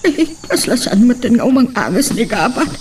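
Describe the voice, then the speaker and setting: Woman playing concerned servant, very high voice, fussing, audio drama, distant from mic. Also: big pitch swings, moderate pace, darkish tone, a little hiss or hum. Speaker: drama actor. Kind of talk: Ilocano radio-style drama acting.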